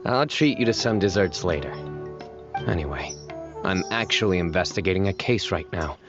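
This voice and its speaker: An actor playing a composed, earnest young investigator in a video game, speaking in a deadpan, formal, measured way. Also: male voice, sits low. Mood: businesslike. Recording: muffled game audio with music.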